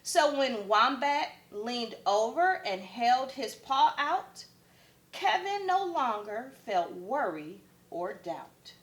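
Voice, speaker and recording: A woman's voice: fairly high pitch, big pitch swings, slow pace. A woman, a first grade teacher, reading a picture book aloud expressively for children.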